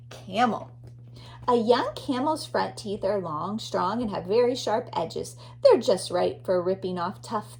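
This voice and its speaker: A woman's voice: mid-range voice, vocal fry, a little hiss or hum. A woman teacher reading a children's nonfiction book aloud expressively, addressing young listeners.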